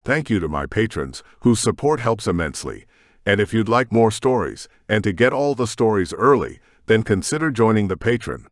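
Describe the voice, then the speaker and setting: Brief: male author-narrator, lowish animated voice, dry close studio sound. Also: moderate pace, no room sound. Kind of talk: scripted audiobook narration.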